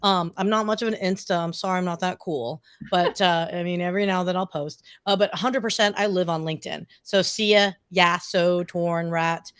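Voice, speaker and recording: A woman's voice: fairly low pitch, steady tone, normal speed, few pauses, fairly bright tone, smooth, dry recording, studio quality. A woman podcast guest talking casually and effusively, informal and self-deprecating, wandering off on tangents. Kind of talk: casual, chatty interview answers.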